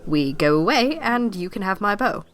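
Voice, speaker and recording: A woman's voice: medium pitch, lively intonation, moderate pace, lightly acted. An actress playing a confident, refined lady professor and adventurer in an audio drama, poised and self-assured.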